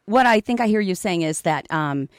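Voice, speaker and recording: A woman's voice: fairly low pitch, animated, brisk, no room sound, clean studio sound. A woman hosting a podcast, asking questions and summing up what her guest says. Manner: inquisitive, sums up the guest's points. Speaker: podcast host. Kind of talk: interviewing.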